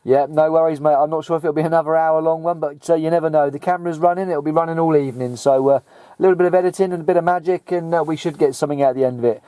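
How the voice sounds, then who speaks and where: Male CB operator, brisk casual chat, soft dark tone. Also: a little high, fairly even intonation, slight background noise. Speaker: CB operator and video maker.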